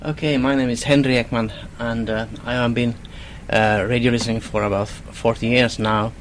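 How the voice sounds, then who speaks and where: A Swedish-speaking man from Finland, a guest in a radio interview, talking haltingly with non-native phrasing. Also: medium pitch, level tone, a little hiss or hum. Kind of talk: casual interview.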